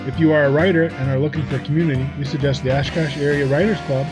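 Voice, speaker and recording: A man's voice: fairly high pitch, fairly even intonation, rapid-fire. A man reading a scripted outro as announcer for a local show. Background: guitar music.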